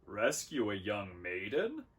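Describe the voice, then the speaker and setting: A man's voice: lowish voice, wildly swooping pitch, slow pace, a bit bright, heavily acted. A man voicing a big, puppyish would-be warrior hero in a storybook, speaking with grandiose earnestness. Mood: heroic resolve.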